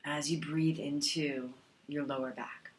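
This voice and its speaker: A woman teaching a guided meditation in a calm, soothing manner, leaving long pauses between phrases. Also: sits low, a little hiss or hum.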